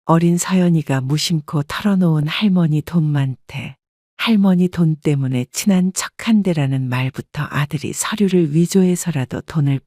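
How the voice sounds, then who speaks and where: A woman narrating a first-person family story in a calm, measured manner. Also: deep voice, no echo, studio quality.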